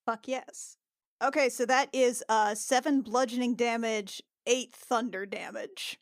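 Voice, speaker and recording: A woman with a fairly high voice, recorded dry and without room sound. She talks casually as a tabletop player, improvising her narration in halting bursts and thinking aloud with the odd swear word. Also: fairly even intonation, no echo. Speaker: player in a tabletop RPG podcast.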